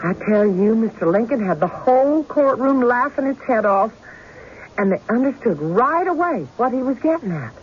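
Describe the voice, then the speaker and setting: A woman's voice: on the high side, big pitch swings, moderate pace. A woman playing an anxious, devoted young wife in a radio drama. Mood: eager, excited. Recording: dull, noisy old radio broadcast.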